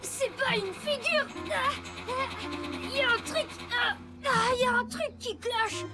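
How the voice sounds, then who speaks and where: A cheeky little kid bike in a cartoon, super high voice, unhurried pace, plucky. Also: female voice, over loud music. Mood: flustered. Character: plucky, accident-prone little child motorbike. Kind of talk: French cartoon dub acting.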